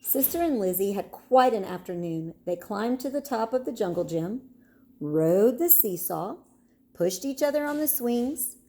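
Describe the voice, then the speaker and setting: A woman narrating a children's storybook in an expressive, storytelling manner. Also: fairly high pitch, a little slow.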